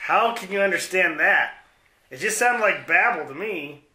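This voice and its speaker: Man as radio-play western henchman, naive, dim, hesitant, very bright tone, echoey room. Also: high voice, animated, normal speed, exaggerated voice. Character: dim, mild-mannered henchman to a western villain. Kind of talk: hobby comedy radio play acting.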